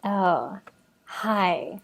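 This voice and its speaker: Woman playing a very slow, dreamy smitten girl. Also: medium pitch, creaky, noisy background. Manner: drawn-out, dreamy, hesitant phrasing. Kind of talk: comic table read, celebrity impression.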